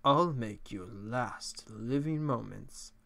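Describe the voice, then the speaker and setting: Man playing a slow, sultry robot diva. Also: medium pitch, vocal fry, a bit bright, voice pushed down. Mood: sultry menace. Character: sultry, vain robot diva, smooth and menacing. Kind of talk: video game character impressions.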